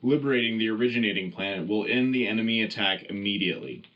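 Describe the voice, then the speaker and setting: A man's voice: lowish voice, fast, lightly acted. Man as a formal wartime news anchor, lo-fi. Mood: earnest, rousing. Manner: formal, dramatic announcer delivery. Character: earnest patriotic male wartime radio news anchor. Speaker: radio news host. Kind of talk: scripted in-world news broadcast.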